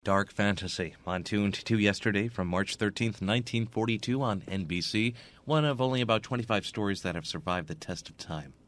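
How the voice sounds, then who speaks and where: A man's voice: fairly low pitch, fairly quick, fairly bright tone. A man hosting a radio programme, delivering scripted broadcast introductions and announcements. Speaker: radio host.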